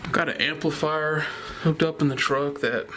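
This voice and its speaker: A man vlogging casually at home, rambling in a relaxed way and talking quietly instead of projecting. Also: a little high, moderate pace. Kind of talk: casual home vlog chat. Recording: noticeable background noise.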